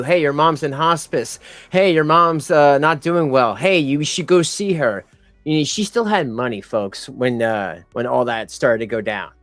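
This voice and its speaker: A man delivering sarcastic reaction commentary, mocking and lecturing his viewers and calling them folks. His voice sits a little high for a man, and it sounds dry and close. Faint music runs underneath. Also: dry recording. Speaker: commentary streamer.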